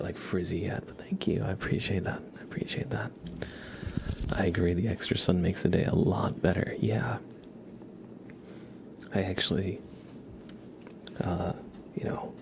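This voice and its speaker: A man hosting an ASMR livestream, chatting casually and softly in a gentle, rambling way, often slipping into a whisper. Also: low-pitched, gravelly, noisy background. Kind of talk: soft-spoken ASMR with casual livestream chat.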